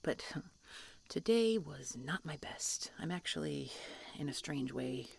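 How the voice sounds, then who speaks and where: Woman acting a creaky-voiced, prickly female dragon hunter. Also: low voice, expressive, heavily acted. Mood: earnest, softening. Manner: halting, sighing, grudging, often hushed. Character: prickly tsundere female dragon hunter, guarded but softening. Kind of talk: fantasy audio roleplay, intimate ASMR-style acting.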